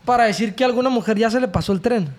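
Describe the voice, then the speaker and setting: A man's voice: very high voice, very fast. A man in his early twenties with a Mexican accent, hosting a casual group chat. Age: early 20s. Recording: crowd of voices behind.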